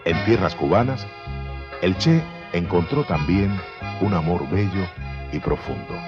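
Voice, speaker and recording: A man narrating a documentary in a solemn, measured way. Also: deep voice. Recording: dull sound over music.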